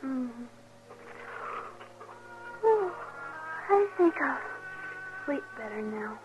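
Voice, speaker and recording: Small, slow-spoken little girl. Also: sing-song, over music, exaggerated voice. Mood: drowsy, affectionate. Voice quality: small, high, childlike voice. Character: tired, trusting little girl orphaned by fire. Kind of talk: old-time radio western acting. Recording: narrow, faintly noisy old radio recording.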